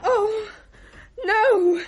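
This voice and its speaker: A woman's voice: extremely high pitch, drawn-out words, a little hiss or hum, full character voice. An actress playing an uneasy British young woman recalling a haunting in a radio play, candid and a little hesitant. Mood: frightened. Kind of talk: naturalistic radio drama acting.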